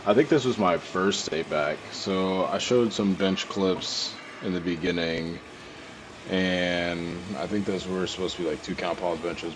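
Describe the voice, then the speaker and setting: A man's voice: low-pitched, background noise. A young man with a cold, congested and stuffy, narrating his own training footage in a loose, rambling voice-over with many fillers and restarts. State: congested, sore throat, clearing throat often.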